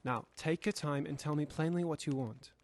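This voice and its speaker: A student actor playing a proper, anxious gentleman lawyer in a radio drama, a man speaking formally and haltingly. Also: a little high, no room sound, natural voice. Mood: calm, coaxing.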